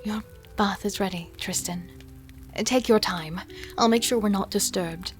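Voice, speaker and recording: An actress playing a caring, devoted young healer in a dark fantasy audio drama, speaking softly and intimately. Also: female voice, mid-range voice, a little slow, close to an everyday voice. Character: caring, soft-spoken young female healer, devoted and mysterious. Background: music with rain sounds.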